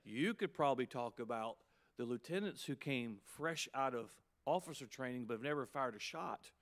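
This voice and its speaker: Fifties male pastor, emphatic pulpit delivery, climbs high on key points, quick asides. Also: lively intonation. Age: 50s. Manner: emphatic preaching with rising, punchy peaks and quick asides. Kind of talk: sermon to pastors.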